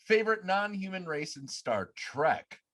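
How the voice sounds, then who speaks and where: A male podcast host with a high voice chats casually from home over a video call. He moves through quick-fire questions and chips in his own answers. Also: sing-song, fairly bright tone.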